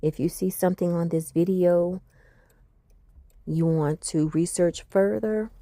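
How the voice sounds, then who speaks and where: A woman's voice: fairly low pitch, measured pace, dark tone, no echo, studio quality. A woman narrating her travel vlog in a chatty, explanatory way, giving practical tips to viewers. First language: not Icelandic. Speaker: travel vlogger.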